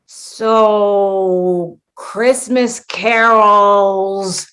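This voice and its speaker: A woman's voice: mid-range voice, fairly even intonation, very slow, a bit bright, clear, resonant tone. An actress playing an exasperated, sarcastic young woman stuck in a sitcom world, in a comedic radio play. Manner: sarcastic, incredulous.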